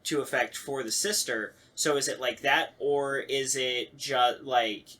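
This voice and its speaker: A man with a medium-pitched voice takes part in a casual panel chat. He speaks informally, with slang, feeling his way through each idea in halting phrases. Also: steady tone, bright, forceful tone.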